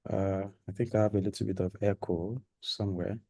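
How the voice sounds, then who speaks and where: A man's voice: low voice, fairly even intonation, a little fast, gravelly, warm tone. A man giving a live-streamed orientation talk to trainees, rambling and repeating himself with many fillers. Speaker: training program organizer.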